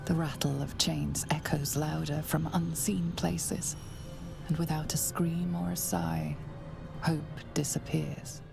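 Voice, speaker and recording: An actress playing the grave narrator of a fantasy game, reading scripted lines in a measured, ominous way. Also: female voice, low voice, animated, creaky, full character voice. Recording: music behind the voice.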